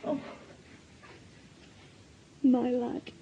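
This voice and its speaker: An actress playing a worried, worn-out middle-aged mother in a radio drama, very husky and breathy, with a Northern English accent. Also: on the high side, level tone, extremely slow, phone-quality sound, a crowd in the background. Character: anxious, worn-out mother of an accused son.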